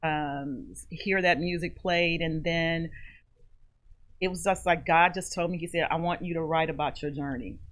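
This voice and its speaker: A woman with a fairly low-pitched voice, speaking as a guest on a radio interview. She tells her personal story in an earnest, measured, heartfelt manner. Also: steady tone. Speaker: guest, author and foundation founder.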